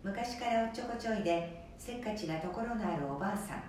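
A woman's voice: mid-range voice, lively intonation, fast talker, darkish tone. Echoey woman narrating a radio drama. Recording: very echoey, distant, faint noise.

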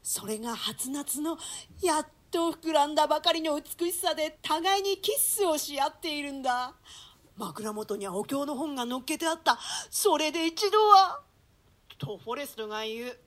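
A high-pitched woman acting as a hired killer in a stage reading of Shakespeare. The talk is coarse and colloquial, shaken by pity and horror, told like comic traditional storytelling with theatrical quoted voices. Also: animated, normal speed, fairly bright tone. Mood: anguished storytelling. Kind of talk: Shakespeare monologue in comic rakugo-like storytelling style.

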